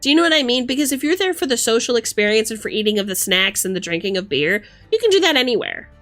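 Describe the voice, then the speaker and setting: A woman's voice: on the high side, sing-song, quick pace, a bit bright, soft background music. A woman hosting a livestream, talking casually and emphatically with plenty of swearing as she rants and chats with commenters. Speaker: livestream host.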